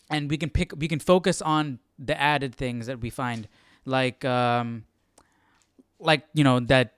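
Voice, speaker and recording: A man with a smooth, clear voice hosts a study livestream in a casual, conversational way. He thinks aloud and often tags his sentences with a questioning "right?". The sound is dry and close, with an average male pitch. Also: no room sound. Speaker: host of a live Bible study.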